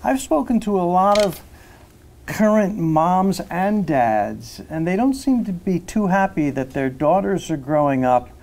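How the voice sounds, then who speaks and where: A man's voice: sits high, big pitch swings, a little slow, darkish tone. An older man speaking on a live television panel, wry and matter-of-fact.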